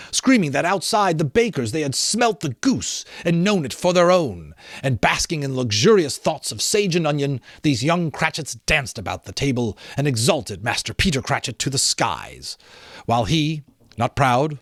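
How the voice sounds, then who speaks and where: Expressive man reading a story aloud. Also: medium pitch, no room sound, clean studio sound. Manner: expressive, theatrical. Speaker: amateur audiobook reader. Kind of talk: dramatic storybook narration.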